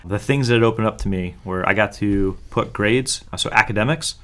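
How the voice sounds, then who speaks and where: A man's voice: on the low side, brisk. A man co-hosting a podcast, talking casually and earnestly with frequent fillers. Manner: talkative, earnest, many fillers. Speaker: podcast co-host.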